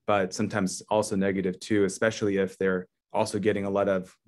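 A man in his thirties moderating a medical webinar over a video call, relaxed and full of "you know.". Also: sits low, fast. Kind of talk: casual panel moderation. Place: office at home or work, indoors.

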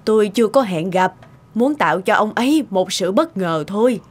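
A woman's voice: medium pitch, expressive, normal speed, natural voice. A woman playing a courteous, composed lady in a cartoon. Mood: polite. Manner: polite. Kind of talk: animated cartoon dialogue. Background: music.

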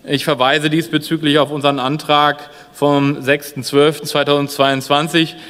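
A man's voice: a little high, flat delivery, moderate pace, a bit bright. Man, thirties, clean resonant voice, parliamentary speech, lectern mic, reverberant hall. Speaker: member of a state parliament. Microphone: lectern microphones.

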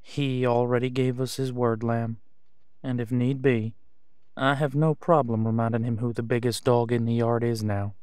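Man acting a smooth, steady werewolf pack leader. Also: medium pitch, normal speed, no echo, studio quality, lightly acted. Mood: tender, teasing. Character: teasing, dominant male werewolf pack leader. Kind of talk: roleplay audio drama acting.

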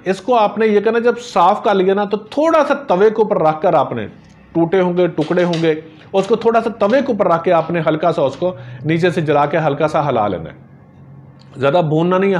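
A man's voice: on the high side, dull audio. A middle-aged man, giving home remedy advice to camera as a herbalist, earnest and gesturing as he talks in a small office. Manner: earnest, gesturing, religious phrases. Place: small office with framed photos on walls.

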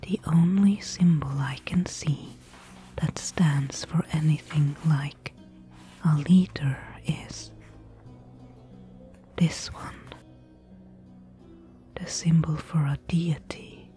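Woman as a slow, whispering, cautious temple explorer. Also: deep voice, fairly even intonation, soft background music. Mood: pondering, hushed. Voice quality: breathy, whispery. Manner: hushed, hesitant, intimate. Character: cautious female adventurer exploring an ancient desert temple. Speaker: ASMR roleplay performer. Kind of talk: soft-spoken ASMR audio roleplay.

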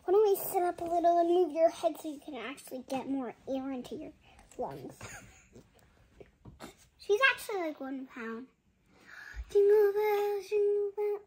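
A woman's voice: extremely high pitch, expressive, moderate pace, long pauses, mellow, slight background noise. A young girl reads a picture book aloud at bedtime in a bedroom, giggling and chatting between lines, filmed on a nearby phone. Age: child. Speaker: child reading a bedtime story. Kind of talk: reading a picture book aloud, slow and sing-song. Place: bedroom at home, indoors.

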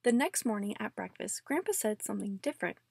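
Female read-aloud host for a children's book, husky edge, close dry sound. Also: mid-range voice, very animated, fairly quick, no room sound. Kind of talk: scripted storybook read-aloud.

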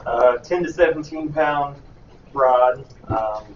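A man answers a panel question with casual enthusiasm, pausing often on fillers. He is heard from across a reverberant room, and the sound is dull. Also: medium pitch, a little hiss or hum. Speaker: charter captain. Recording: echoey room, far from mic, dull.

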